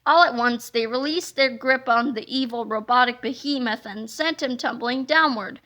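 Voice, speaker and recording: A woman reading a children's book aloud as an expressive narrator. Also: fairly high pitch, normal speed.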